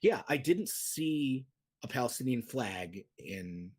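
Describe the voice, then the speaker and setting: A man's voice: medium pitch, big pitch swings, takes it slowly, breathy edge. A man in his thirties, born in the United States, chatting as a podcast guest in a rambling way full of fillers and restarts. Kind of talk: casual interview chat.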